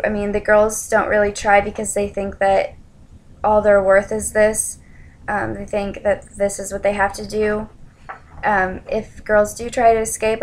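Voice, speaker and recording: A young adult woman giving an informal spoken presentation in a somewhat hesitant, halting way. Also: mid-range voice.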